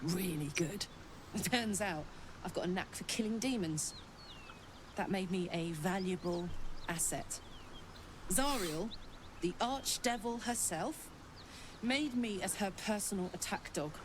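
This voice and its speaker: A woman's voice: mid-range voice, measured pace, long pauses, natural voice. Woman doing cocky sweary battle-hardened warrior lady, video game companion, blunt talker, noisy background. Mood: confident. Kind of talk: dramatic video game voice acting. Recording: game audio with clear background noise.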